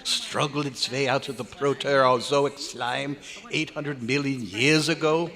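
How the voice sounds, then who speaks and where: A man's voice: medium pitch, fairly even intonation, unhurried, bright, forceful tone. A man playing an irritable, pompous German scientist in an old-time radio drama, declaiming with exasperation and a German accent. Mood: exasperated. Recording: echoey hotel hall live performance.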